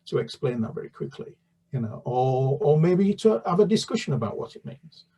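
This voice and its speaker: A British man with a husky voice in an ordinary middle register talks about his children's books, stopping and restarting often. He then reads a chapter aloud in steady storybook narration over a video call. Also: medium pitch, normal speed. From United Kingdom. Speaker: children's author. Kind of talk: book talk and read-aloud.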